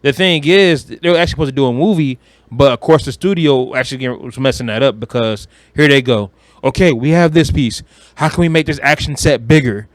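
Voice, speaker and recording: A man's voice: mid-range voice, fairly quick, dry recording. A man co-hosting a podcast, chatting casually about pop culture in a slangy, opinionated way. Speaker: podcast co-host.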